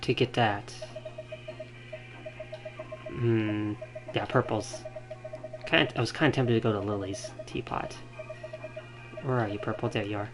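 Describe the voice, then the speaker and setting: A man's voice: lowish voice, a little slow, pauses often. Casual male streamer over game music. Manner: rambling, thinking out loud. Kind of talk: casual live gameplay commentary. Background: video game music.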